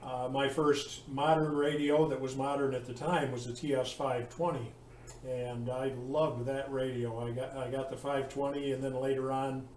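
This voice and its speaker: A roomy, slightly distant recording with faint noise carries a man's mid-pitched voice. He chats casually as a ham radio operator, in a halting, unhurried way with formal sign-offs. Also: level tone, darkish tone.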